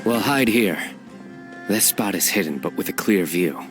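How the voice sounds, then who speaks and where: A man voicing a stern young man who makes deadpan wordplay, speaking in a slow, dry manner, in a game cutscene. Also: low voice, over music.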